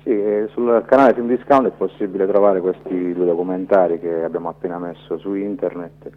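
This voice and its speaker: Low voiced guy being interviewed over the phone, muffled narrow sound. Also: fairly even intonation, a little fast. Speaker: documentary filmmaker, interview guest.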